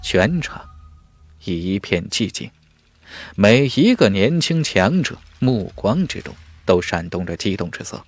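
A man's voice: on the low side, very animated, unhurried, dry recording. A man narrating a fantasy audiobook in a dramatic, emphatic storytelling manner. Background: music.

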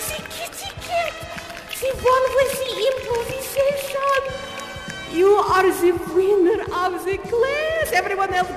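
Very high-voiced, gushing prima ballerina. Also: male voice. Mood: triumphant. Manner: effusive, grandiose, doting, drawn-out delivery. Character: gushing, theatrical prima ballerina dance instructor. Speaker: contest host on stage. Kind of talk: in-character live stage performance. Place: large echoey stage venue. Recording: echoey hall PA, music behind.

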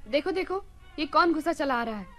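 A haughty palace queen in a radio play. Her voice is high and theatrical, full of regal protest. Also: expressive, fairly quick, fairly bright tone, faint music behind. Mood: alarmed. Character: indignant, regal queen, wife of the caliph. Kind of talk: Hindi radio play acting.

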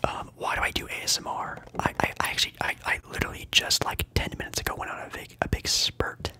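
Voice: fast, pushed and bright. A young adult ASMR livestream host whispering casually to viewers, rambling with fillers and repeating trigger words. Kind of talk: whispered ASMR chat answering live viewers.

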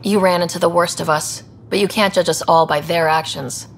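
Woman as earnest, lower-voiced young explorer. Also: fairly even intonation, a little fast, a little hiss or hum, close to an everyday voice. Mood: earnest, reassuring. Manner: earnest, casual, direct. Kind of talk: video game dialogue.

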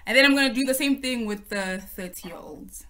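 A woman's voice: fairly high pitch, brisk, a bit bright. A woman in her twenties hosting a casual group discussion on a video call, explaining the plan step by step. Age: 20s. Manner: friendly, organized, explains step by step. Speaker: host. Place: home room on video call. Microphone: laptop or phone on video call.

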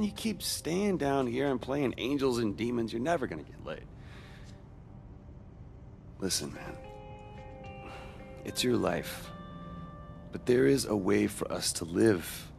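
Gravelly, brash young American rebel. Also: male voice, medium pitch, big pitch swings, takes it slowly, long pauses, darkish tone, soft background music, heavily acted. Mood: coaxing. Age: young adult. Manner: sarcastic, casual. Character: brash, wisecracking young male rebel with superpowers. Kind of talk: game cutscene acting.

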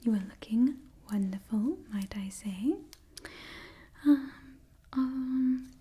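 A woman in her twenties performing an ASMR roleplay in a breathy whisper mixed with soft speech, gently repeating phrases close to the mic. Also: on the high side. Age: 20s. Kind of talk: ASMR roleplay, party host addressing the listener. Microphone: close microphone, near camera.

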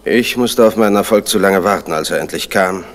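An actor playing a genial, ageing crime writer and estate owner with urbane, courteous manners in a German radio play. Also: male voice, deep voice, brisk, natural voice. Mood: confiding, wistful. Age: older. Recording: noisy vintage radio play recording.

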